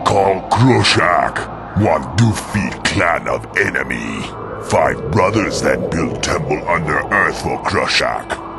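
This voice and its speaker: A solemn exiled orc shaman from a fantasy game, growls in broken, clipped phrases. The voice is gravelly and slow, with wide swings in pitch, and speaks like a primitive but earnest warrior. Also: animated, heavily acted. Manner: broken pidgin speech in short clipped phrases. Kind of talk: video game character dialogue. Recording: loud music under the voice. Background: game music.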